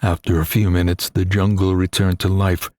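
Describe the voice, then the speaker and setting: A man reading a suspenseful adventure story as an audiobook narrator, in a grave, deliberate, dramatic manner. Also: deep voice, little pitch movement, a little mellow, dry recording.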